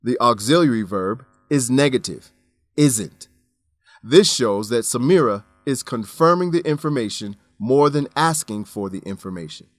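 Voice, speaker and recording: A man reading scripted English-lesson narration as a teacher, deliberate, instructional and carefully enunciated. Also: medium pitch, lively intonation, dry recording, faint music behind.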